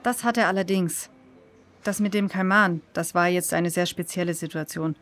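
A woman's voice: fairly low pitch, fairly even intonation, normal speed, fairly bright tone, soft background music, lightly acted. A woman playing a sincere, consoling friend of children, speaking earnestly and reassuringly in an audio drama.

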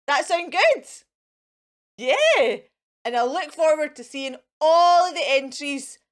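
A woman's voice: high voice, sing-song, takes it slowly. A Scottish woman in her thirties presenting playfully to children as a fairy host, with an exaggerated, sing-song, coaxing and encouraging manner. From Scotland. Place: indoors in front of a fairy backdrop.

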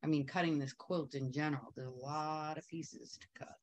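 A woman's voice: low-pitched, fairly even intonation, moderate pace. A woman teaching a quilting technique in a chatty, upbeat way, wandering into asides while she sews. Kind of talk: casual sewing tutorial.

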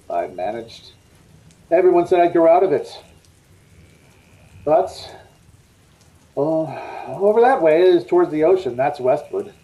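A man plays an escaped madman and killer in a vintage radio suspense play. He is brooding and unrepentant, with a dark, mellow tone. His delivery is slow and deliberate, sliding widely in pitch. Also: close to an everyday voice, low-quality recording. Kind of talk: old-time radio suspense acting. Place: indoor room at home, on a video call.

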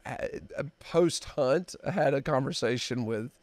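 A man in his fifties hosting a podcast, telling a story in a halting, drawn-out way with many fillers, at a desk mic in a dark studio. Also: mid-range voice, lively intonation, no echo. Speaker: podcast host. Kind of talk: casual storytelling chat.

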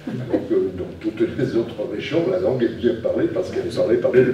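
A man's voice: lowish voice, a little fast, dark tone, dull audio, slight background noise. An older man hosting a public debate, speaking with many hesitations and fillers while standing. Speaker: event host. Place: small lecture room. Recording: roomy and distant.

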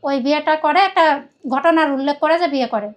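A woman's voice: sits high, quick pace. A woman from Khulna with a Bangladeshi accent, telling her personal story in a rambling, emphatic way and often repeating herself. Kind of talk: personal confessional account in conversation.

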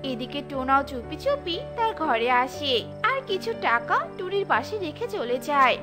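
A woman narrating a cartoon story in an expressive storybook manner. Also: high-pitched, normal speed, music in the background.

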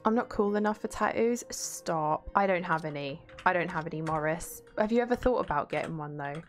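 A young woman streaming a game, chatting casually and greeting viewers by name, with faint game music behind her. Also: on the low side. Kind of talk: casual gameplay chat, reading chat aloud.

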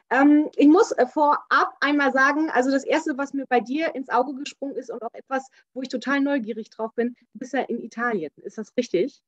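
Excited woman in her 30s interviewing over webcam, lots of ähm, flat dry muffled sound. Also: high-pitched, dry recording. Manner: enthusiastic, chatty, many fillers. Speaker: interview host. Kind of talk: casual video-call interview. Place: small home room with shelves. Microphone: webcam on a video call. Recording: dull, dry video-call audio.

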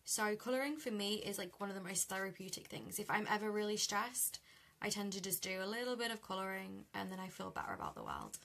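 A young British woman with a croaky voice chatting easily with live viewers while she colours at a craft desk. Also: medium pitch, sing-song, quick pace, a bit bright. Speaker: card-making demonstrator. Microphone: overhead camera over a desk.

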